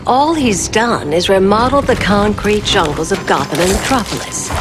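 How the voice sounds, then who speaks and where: A woman's voice: mid-range voice, lively intonation, moderate pace, lightly acted. An actress voicing a cool, seductive female eco-villain with a sultry, disdainful delivery. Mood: cold. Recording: game cutscene voice over music.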